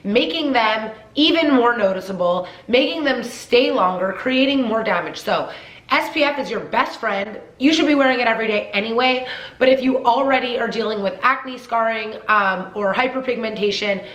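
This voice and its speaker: A young woman talks through skincare tips in a chatty, emphatic way, repeating herself to drive a point home. Her voice sits a touch high and sounds a little nasal and blocked from a cold. The room around her gives a noticeable echo. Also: normal speed, a bit bright. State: congested from a cold, slightly nasal. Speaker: beauty vlogger. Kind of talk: casual skincare advice vlog.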